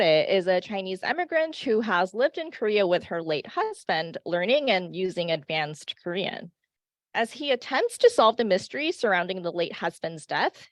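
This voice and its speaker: A woman presenting an academic paper at a conference, reading in a measured way with frequent "uh" fillers and restarts. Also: medium pitch, dry recording. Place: conference session.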